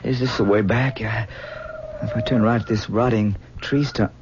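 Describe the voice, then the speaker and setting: An actor playing a frightened, haunted young man in a 1970s radio drama, stammering and halting. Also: fairly low pitch, fairly even intonation, natural voice. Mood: weary, frightened. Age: young adult. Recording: dull old radio recording with hiss.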